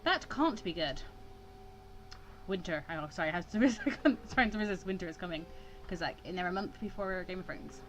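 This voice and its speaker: A woman's voice: lowish voice, expressive, fairly quick, fairly bright tone. A woman giving casual let's-play commentary, chatty and often breaking off into asides. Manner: chatty, self-interrupting asides. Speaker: gaming video host. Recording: clear background noise.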